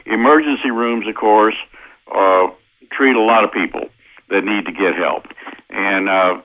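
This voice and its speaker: An American man explaining his points methodically as an interview guest, with hesitant pauses, over a tinny phone line. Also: lowish voice, a little hiss or hum. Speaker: interview guest, constitutional lawyer. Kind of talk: explanatory radio interview answers.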